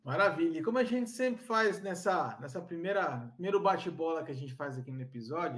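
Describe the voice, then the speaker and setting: A man with a fairly high voice hosts a relaxed conversation, friendly and upbeat. He welcomes the guest warmly and keeps asking questions, in a casual podcast chat. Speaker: podcast host.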